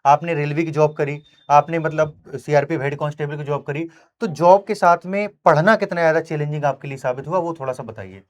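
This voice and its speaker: A male interviewer with a slightly high-pitched voice. He talks like a coaching teacher, emphatic and encouraging, in a conversational flow with frequent tag questions. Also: a little fast, hardly any pauses. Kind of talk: conversational interview with motivational asides.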